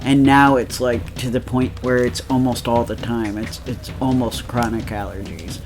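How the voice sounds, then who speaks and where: A man's voice: medium pitch, fairly even intonation, normal speed, music in the background. A man with a nasal voice chatting casually while playing a game, rambling with lots of fillers and laughs. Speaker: let's play co-host. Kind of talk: casual chat over gameplay.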